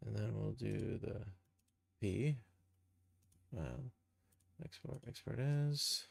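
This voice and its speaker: A man giving an informal live software tutorial, thinking aloud and muttering steps to himself as he works. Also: fairly low pitch, takes it slowly, creaky, breathy edge, no echo. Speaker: live streamer, game and cartoon developer.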